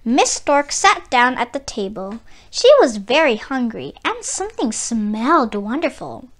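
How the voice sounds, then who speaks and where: A woman reads a children's story aloud in a high voice. Her delivery is slow and expressive, with a lively rise and fall suited to young listeners. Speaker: children's book read-aloud narrator.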